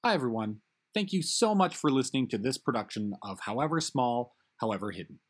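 Guy reading the credits at the end of an audio drama, friendly, a bit husky, voice moves around a lot. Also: medium pitch, animated, a little fast. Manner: friendly, upbeat, conversational. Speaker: producer reading the closing credits. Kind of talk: scripted outro and credits.

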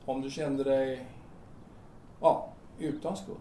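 A man acting a calm, persistent carpenter who questions a desperate stranger bluntly in a naturalistic audio drama. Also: mid-range voice, slight background noise, natural voice. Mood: insistent, earnest. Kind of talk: naturalistic radio drama dialogue. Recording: roomy, distant microphone.

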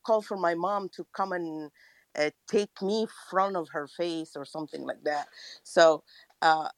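A woman from Egypt, calling in to share a personal testimony, halting and full of fillers. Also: low voice, dry recording, low-quality recording. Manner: halting, many fillers and restarts. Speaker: caller. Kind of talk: personal testimony in a call-in chat.

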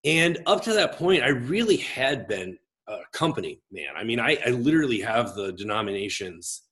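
A man in his forties with a big voice, telling his own story as a pastor and guest in an expressive, gregarious, halting conversational way. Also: medium pitch. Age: 40s. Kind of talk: reflective personal storytelling in conversation.

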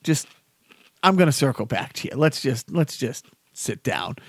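A man's voice: medium pitch, big pitch swings, moderate pace, husky, no echo. A man voicing a casual, exasperated Saint Peter quizzing newcomers. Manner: casual, exasperated quiz-master. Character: Saint Peter, weary gatekeeper of heaven.

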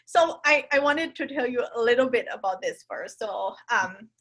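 Thai woman guest on a podcast, kinda high voice, lots of um and like, restarts a lot. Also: animated, normal speed, fairly bright tone. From Thailand. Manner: hesitant, many fillers and restarts. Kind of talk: casual conversation.

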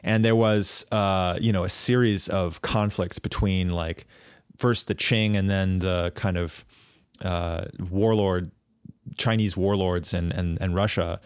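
Low-voiced man explaining history, halting. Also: steady tone, smooth, dry recording, clean studio sound. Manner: thoughtful, halting, many fillers and restarts. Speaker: podcast co-host. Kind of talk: casual podcast discussion, explaining history at length.

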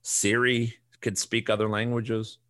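A man's voice: on the low side, little pitch movement, measured pace, a bit bright. A middle-aged man with a Chicago accent, hosting a casual solo podcast and ranting in a blunt, profane, rambling way. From Chicago area. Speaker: podcast host.

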